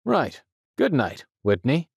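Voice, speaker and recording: A man acting a self-possessed, reflective big-game hunter in an audio drama, musing and relaxed. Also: medium pitch, takes it slowly, gravelly, breathy edge, dry recording, clean studio sound, full character voice. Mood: easygoing.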